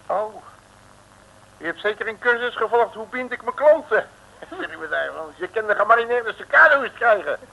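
A man's voice: high voice, expressive, moderate pace, gravelly, sharp tone, exaggerated voice. A man playing a brash, grumbling, wisecracking private detective with slangy, speech in an old radio serial. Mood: gruff. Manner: slangy, sardonic, folksy. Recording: noisy old radio recording.

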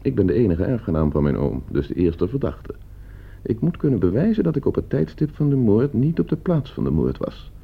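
An actor playing a coldly scheming gentleman heir in a radio play, suave, calculating and condescending. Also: lowish voice, sing-song, a little fast, gravelly, breathy edge, full character voice. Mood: smoothly calculating. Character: coldly scheming, broke gentleman heir plotting his uncle's murder. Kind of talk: Dutch radio play acting. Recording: muffled old radio play recording.

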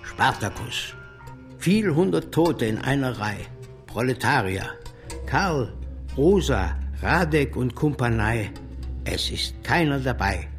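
A man's voice: mid-range voice, big pitch swings, slow pace, soft background music. An actor playing an embattled male socialist revolutionary in a German radio drama, arguing in an impassioned, rhetorical way. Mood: declamatory, bitter. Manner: rhetorical, declamatory.

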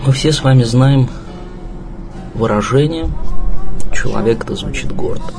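A man's voice: medium pitch, unhurried. A man giving a reflective, philosophical address with emphatic phrasing. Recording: clear music behind the voice. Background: background music.

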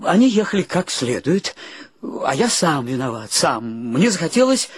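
A man's voice: fairly high pitch, sing-song, normal speed, breathy edge, no echo. An actor playing a wry, philosophizing penniless drifter in a radio play, plaintive and ironic in his delivery. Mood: wry, world-weary. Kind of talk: Russian radio play acting.

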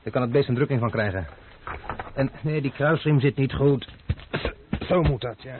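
An actor playing a dashing, self-assured young adventurer who gives orders boldly and playfully in a radio drama. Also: male voice, mid-range voice, moderate pace, natural voice. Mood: commanding. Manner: bold, commanding and playful. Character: dashing, confident young male adventurer in love. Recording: tinny noisy old broadcast.

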